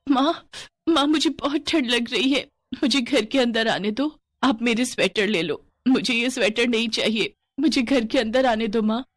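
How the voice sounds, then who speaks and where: A woman's voice: a little high, moderate pace, no room sound, clean studio sound, pitched above the performer's own voice, lightly acted. A woman voicing a sweet, mistreated little girl in a story, childlike and plaintive. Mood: tearful, pleading.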